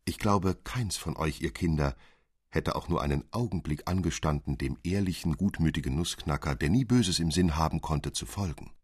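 A man narrating a literary fairy tale as an expressive, measured storyteller. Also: extremely low pitch, husky, dry recording, clean studio sound.

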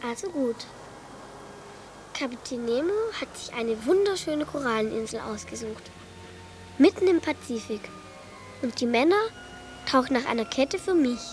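A woman's voice: on the high side, expressive, moderate pace, pauses often, soft background music, close to an everyday voice. A female performer in a German radio play voicing a sassy, insistent young girl joining a make-believe game. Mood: scornful.

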